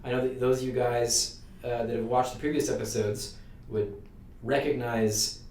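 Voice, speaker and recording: A man's voice: mid-range voice, steady tone, normal speed, a little mellow, far from the mic, a little hiss or hum. A Canadian man co-hosting a dating advice live stream, joking and riffing playfully in casual banter. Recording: roomy sound, away from the mic.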